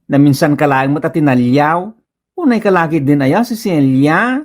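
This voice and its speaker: A woman's voice: low-pitched, expressive, moderate pace, a little mellow. A Filipino woman with an Ilocano accent playing a nagging, exasperated village neighbor in a comedy radio drama. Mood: scolding. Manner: scolding, exclaiming.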